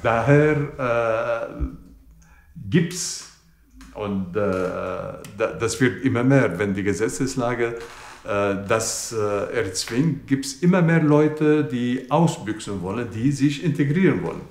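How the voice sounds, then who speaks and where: A man's voice: mid-range voice, lively intonation, a little mellow. Older man, hesitant, echoey room. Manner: hesitant, many pauses and fillers. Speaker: interviewee. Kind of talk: documentary interview. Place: indoors by a bookshelf.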